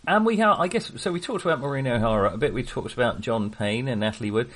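A man's voice: medium pitch, animated, fast, a bit bright, husky. A man hosting a casual film discussion, speaking hesitantly and often correcting himself as he digresses. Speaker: podcast host.

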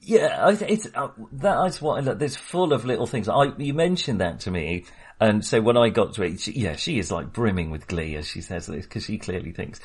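A man with a somewhat low, husky voice talks through a film in a relaxed, rambling way. He hesitates a lot, restarts his sentences and breaks into laughter. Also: fairly quick. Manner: chatty, hesitant, many fillers and restarts, laughs often. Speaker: podcast co-host. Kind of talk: casual film discussion.

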